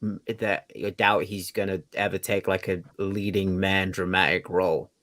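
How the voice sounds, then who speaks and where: A man with a somewhat low-pitched voice presents entertainment news on a podcast. His delivery is informal and conversational, sprinkled with slang and swearing. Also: level tone, normal speed, fairly bright tone. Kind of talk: casual, profane news chat.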